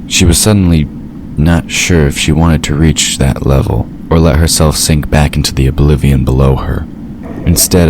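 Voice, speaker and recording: A man narrating scripted fantasy fiction for an audio drama, with ambient effects behind him. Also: rumbling low. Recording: steady noise behind the voice.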